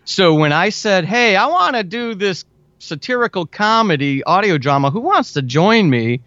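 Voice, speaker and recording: A man's voice: high-pitched, very animated, moderate pace, pushed and bright, no room sound, dull audio. A man chatting informally and earnestly as an interview guest, full of enthusiasm for his craft. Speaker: interview guest, audio drama writer. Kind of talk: casual chat.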